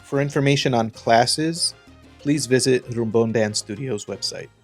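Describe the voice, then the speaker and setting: A man's voice: medium pitch, measured pace. A male announcer reads scripted show and sponsor messages in a mid-range voice. Light music sits behind him.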